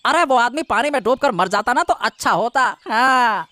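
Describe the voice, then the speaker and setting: A woman's voice: on the high side, animated, moderate pace, bright, forceful tone, no room sound. A woman voicing a sly male crony in a cartoon who fawns over his boss. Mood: fawning. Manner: fawning and sly. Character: scheming male crony of the villain.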